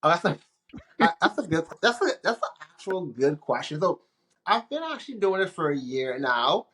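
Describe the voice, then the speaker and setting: Casting: man from the Bahamas, 30s, easygoing guest, slightly high voice, loose rambling delivery. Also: normal speed, slight background noise. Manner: relaxed, rambling, frequent restarts and laughs. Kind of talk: casual interview chat.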